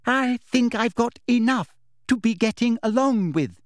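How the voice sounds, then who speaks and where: A man voicing a smug, sickly-sweet older woman school official who speaks slowly and over-enunciates. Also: very high-pitched, lively intonation, no echo, studio quality, voice pushed up. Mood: condescending. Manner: ringing, loud, slow, over-enunciated delivery.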